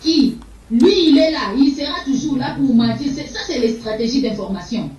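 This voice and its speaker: A woman's voice: high voice, lively intonation, normal speed, a little mellow. An older woman from Congo, speaking fiercely and repetitively in an interview, sometimes stumbling over repeated words. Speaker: political activist. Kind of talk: impassioned interview answers. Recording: echoey, distant, dull sound.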